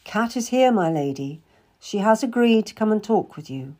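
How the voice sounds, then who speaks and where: A woman's voice: medium pitch, lively intonation, moderate pace, dark tone, lightly acted. A woman voicing a firm, courteous male courtier.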